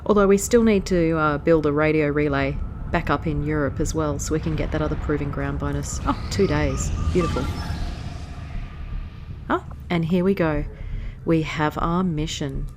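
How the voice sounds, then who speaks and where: A woman's voice: sits low, fairly even intonation, normal speed, noisy background. Chatty woman with a stuffy nose. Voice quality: nasal. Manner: chatty, easily distracted. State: stuffy nose from hay fever. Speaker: let's play host. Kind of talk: casual gameplay commentary.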